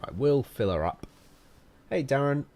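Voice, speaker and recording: A man's voice: medium pitch, animated, measured pace. A young man casually live-streaming a driving game, rambling, joking at his own expense and swearing as he chats to viewers. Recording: loud game engine and traffic noise behind.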